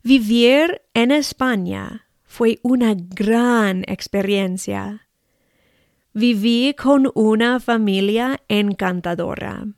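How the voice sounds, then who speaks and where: An American woman in her forties, a native English speaker and Spanish teacher, reading a personal story slowly and carefully with an American accent. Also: mid-range voice, gravelly, dry recording, clean studio sound. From United States. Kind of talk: read personal narrative.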